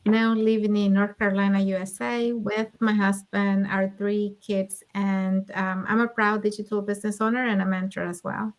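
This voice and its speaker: A woman's voice: medium pitch, little pitch movement, normal speed, few pauses, rough recording. A woman from Mexico, co-hosting a live business chat and listing panelists in a friendly way. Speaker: co-host. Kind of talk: live-stream promo chat.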